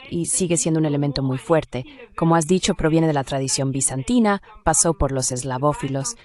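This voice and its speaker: A woman with a low-pitched voice speaks as an academic guest in an interview. She explains her points analytically and at length, in a discursive manner. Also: darkish tone. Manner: analytical, discursive, with rhetorical check questions.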